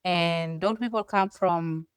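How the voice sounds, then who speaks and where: A woman's voice: lowish voice, slow pace, clean tone, no echo, studio quality. A middle-aged woman from South Sudan with a South Sudanese accent, co-hosting and telling her life story in an earnest, emphatic way. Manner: earnest, emphatic, rising to forceful stresses. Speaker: co-host. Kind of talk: personal storytelling.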